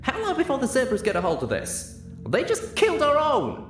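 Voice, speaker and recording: A man's voice: very high-pitched, huge pitch swings, fairly quick, a bit bright, roomy sound, soft background music, voice pushed up, heavily acted. A man voicing an older cartoon-style pony mare in an audiobook, a folksy, emphatic country boss, strained with fury and heartbreak. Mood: furious, anguished.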